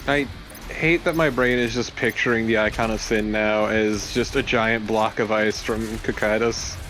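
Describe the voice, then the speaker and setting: A man's voice: lowish voice, steady tone, normal speed, fairly bright tone, faint music behind. A man co-commentating on a video game in a casual, joking style. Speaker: let's play co-commentator.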